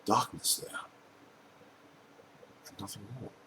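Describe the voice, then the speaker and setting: A man giving a theatrical, dramatic recital of gothic poetry, with drawn-out pauses and shifts between hushed murmurs and loud outbursts. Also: heavy background noise. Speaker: amateur performer reciting poetry. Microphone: camera microphone.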